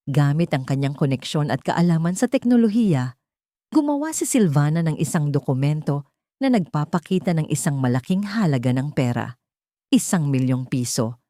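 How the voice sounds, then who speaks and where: A woman's voice: sits low, sing-song, normal speed, mellow, no echo, studio quality. A woman reading a scripted story as a narrator, in a dramatic storytelling style. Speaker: narrator of audio love stories.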